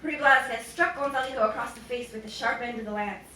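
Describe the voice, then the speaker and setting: A young woman, an author giving an expressive reading of her novel at a bookstore event. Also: fairly high pitch, quick pace. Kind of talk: expressive public book reading. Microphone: boom-stand mic at lectern, distant camera. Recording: roomy, distant, noisy bookstore recording.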